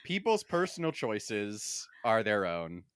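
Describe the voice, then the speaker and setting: Low, bright-voiced man, casual podcast host. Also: big pitch swings, takes it slowly, clean tone, dry recording, clean studio sound.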